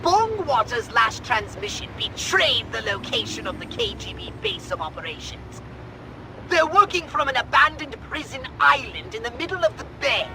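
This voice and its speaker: A man's voice: very high-pitched, sing-song, normal speed, noisy background, full character voice. A man playing a fussy, imperious alien commander in a video game, pompous, precise and theatrical. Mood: briefing, superior. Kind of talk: video game cutscene acting. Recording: loud music behind the voice.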